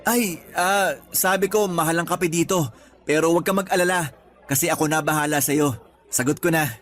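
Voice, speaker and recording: A man playing a steady, devoted schoolteacher in a radio drama, talking conversationally with English words mixed in. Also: a little high, moderate pace, close to an everyday voice. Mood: playfully affectionate. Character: steady, devoted male teacher courting his colleague. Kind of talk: Tagalog radio drama acting. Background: faint background music.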